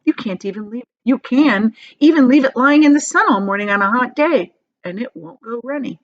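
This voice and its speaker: A woman's voice: on the high side, lively intonation, normal speed, lo-fi sound, voice pushed up, lightly acted. A woman voicing an eager, emphatic ninety-six-year-old grandfather in a children's storybook read aloud. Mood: delighted, emphatic.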